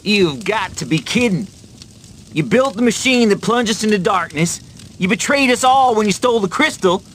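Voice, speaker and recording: A man's voice: high voice, animated, normal speed, a bit bright, a little hiss or hum. A man playing a hot-headed, accusing hero with a confrontational delivery in a video game cutscene. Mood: angry, accusing.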